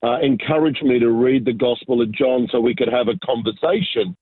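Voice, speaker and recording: A man's voice: mid-range voice, breathy edge, no room sound. Man in his forties, guest telling his life story, hesitant and reflective, dry close sound squeezed down like a phone line. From Argentina, raised in Sydney. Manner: reflective, halting, many fillers and restarts. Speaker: guest, business pastor. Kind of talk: casual interview storytelling.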